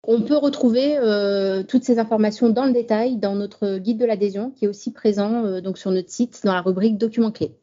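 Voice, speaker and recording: A woman's voice: medium pitch, steady tone, normal speed, hardly any pauses, warm tone. A woman representative giving practical advice, with some hesitations. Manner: advising, with hesitations. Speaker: insurance fund representative. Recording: dull lo-fi sound.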